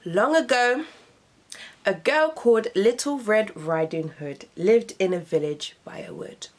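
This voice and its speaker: Slow, animated young woman storyteller. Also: medium pitch. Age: young adult. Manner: dramatic, deliberate storytelling emphasis. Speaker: radio host, storyteller. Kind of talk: expressive children's storybook narration.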